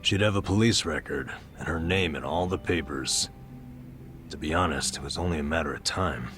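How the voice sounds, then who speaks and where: A man's voice: rumbling low, moderate pace, a bit bright. An actor playing a burned-out, middle-aged former nurse in a video game, telling his story with an American accent and a wry, self-deprecating weariness. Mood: wry, regretful. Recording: music behind the voice.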